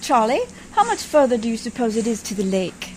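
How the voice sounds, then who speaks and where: Woman acting a prim, plucky sweetheart, highish voice. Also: very animated, fairly quick, darkish tone, a little hiss or hum. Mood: anxious, steadfast. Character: prim, plucky, tender woman adventurer in love. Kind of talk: old-time radio drama acting.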